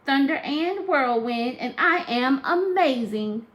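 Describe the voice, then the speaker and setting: Storybook role: sassy little frontier girl, high and emphatic, proudly boastful, performed by a woman. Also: animated, slow pace, a bit bright, full character voice. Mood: proud, bold. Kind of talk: storybook read-aloud character voice.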